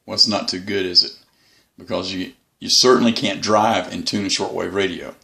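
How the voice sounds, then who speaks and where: Deep, gravelly-voiced man chatting casually. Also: steady tone, moderate pace, pushed and bright. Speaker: host of a shortwave radio hobby channel. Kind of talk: casual unscripted review talk.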